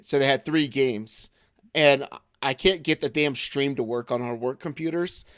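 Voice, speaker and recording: A hoarse American man in his thirties talking sports on a podcast, loose and rambling, full of ums and quick jokes. His mid-pitched voice is recorded close and dry, with no room sound at all. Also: a bit bright, no room sound. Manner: rambling, filler-heavy, joking. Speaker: podcast co-host. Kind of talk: casual sports chat.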